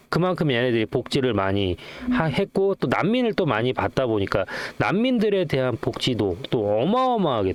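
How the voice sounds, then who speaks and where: A man's voice: medium pitch, a little mellow. A middle-aged man giving casual, off-the-cuff stock commentary in a chatty, rambling way, often posing questions and answering them himself. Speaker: stock channel host.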